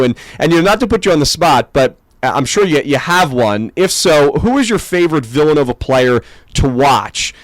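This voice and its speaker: A man's voice: mid-range voice, dry recording, rough recording. A young American man hosting a conversational sports interview in an enthusiastic, appreciative way. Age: young adult. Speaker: student radio sports host.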